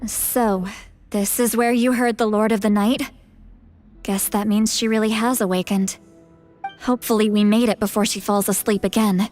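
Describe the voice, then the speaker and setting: A woman's voice: mid-range voice, fairly even intonation, moderate pace, darkish tone, faint music behind, natural voice. A woman voicing a worried, candid female shaman in a video game, with a hesitant, casual way of talking. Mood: wary. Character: uneasy, worried female shaman with secret foresight.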